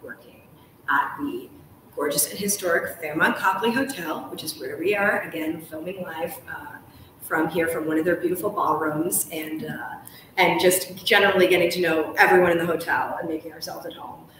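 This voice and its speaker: A woman's voice: fairly low pitch, fairly even intonation, moderate pace, a bit bright. A woman in her thirties hosting a live interview chat, talking in an enthusiastic, emphatic way with many casual "likes". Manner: enthusiastic, emphatic, uses "like" often. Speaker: host, interviewer. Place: large hotel room, indoors. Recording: echoey room, distant mic, faint noise.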